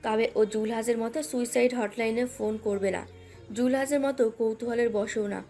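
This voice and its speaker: High-pitched woman narrating a romance. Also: fairly even intonation, moderate pace, warm tone. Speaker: story narrator. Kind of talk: scripted romantic story narration. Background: background music.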